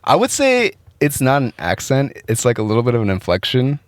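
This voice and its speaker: A man's voice: mid-range voice, huge pitch swings, a little fast, no room sound. A young man hosting a casual chat, teasing his guest with prodding questions. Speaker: show host.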